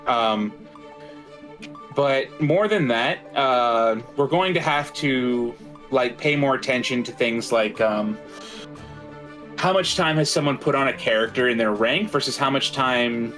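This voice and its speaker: A man's voice: mid-range voice, unhurried, fairly bright tone. Man casting a fighting game, music behind. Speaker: fighting game tournament commentator. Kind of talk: casual esports commentary and banter.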